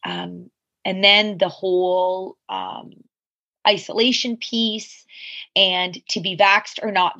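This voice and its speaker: A woman's voice: fairly low pitch, vocal fry, fairly bright tone, slightly rough, muffled recording. A woman in her forties, a podcast guest, telling her life story candidly in a reflective, rambling way full of asides. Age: 40s.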